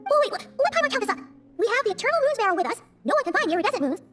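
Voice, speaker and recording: A tiny, chatty fairy companion for a video game. The voice is extremely high and swoops animatedly at a very fast clip. It is blunt, childlike and openly expressive. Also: female voice, soft background music, full character voice. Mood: puzzled.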